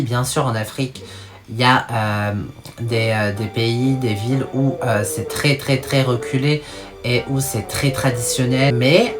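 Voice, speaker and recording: Woman reacting to clips, very deep voice, blunt and mocking, music underneath. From France. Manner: outspoken, mocking, exasperated. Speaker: reaction video host. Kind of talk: casual commentary over clips.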